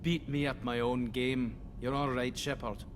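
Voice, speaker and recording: An actor playing a friendly, cheeky young starship engineer in a video game. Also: male voice, medium pitch, flat delivery, a little slow, a little hiss or hum, close to an everyday voice. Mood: cheerful, joking. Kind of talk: video game cutscene acting.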